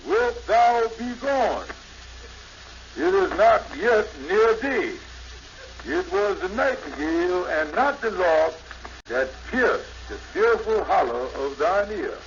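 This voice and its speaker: A man's voice: high voice, lively intonation, takes it slowly. An actor playing a scheming, pompous middle-aged con man who mangles grand words in 1950s radio comedy style. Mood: theatrical. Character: scheming blustery middle-aged male con man giving bogus lessons. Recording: noisy, muffled 1950s radio recording.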